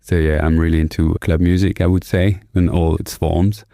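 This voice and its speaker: A male music producer with a very deep, gravelly voice. He speaks in a laid-back, easygoing way. The recording is dry and close. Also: little pitch movement, moderate pace, mellow, dry recording. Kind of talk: casual interview.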